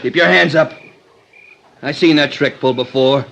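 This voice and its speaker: A man's voice: medium pitch, normal speed, gravelly, a bit bright, highs cut off. An actor playing a jumpy, suspicious city gunman, tense and clipped, in a vintage radio crime drama. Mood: threatening. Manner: tense, clipped, tough-talking. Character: jumpy, suspicious male city gunman, menacing but scared. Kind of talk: 1940s-50s radio drama acting. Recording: hissy old radio drama recording.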